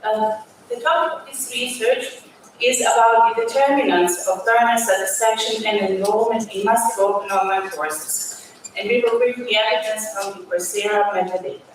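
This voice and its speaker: A woman's voice: medium pitch, fairly even intonation, normal speed. A woman from Bosnia and Herzegovina with a Bosnian accent, giving a formal academic presentation as a doctoral student. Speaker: PhD student presenting research. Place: large auditorium. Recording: very echoey hall, distant mic, faint noise.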